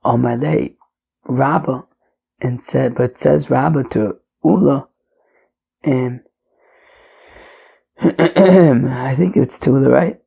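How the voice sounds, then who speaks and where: A man with a failing, hoarse voice teaching a daily Talmud lesson in a relaxed, chatty way, sometimes dropping to a whisper. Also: mid-range voice, slow pace, pauses often, muffled recording. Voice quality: raspy, drops to whispering. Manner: casual, with fillers and mixes in Hebrew and Aramaic terms. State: losing his voice, hoarse.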